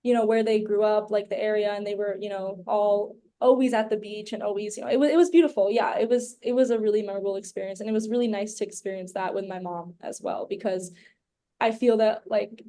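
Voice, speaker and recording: A woman's voice: medium pitch, steady tone, quick pace, warm tone. Chatty young woman, podcast guest. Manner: chatty and rambling, leans heavily on "like" and "um". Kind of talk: casual conversational interview.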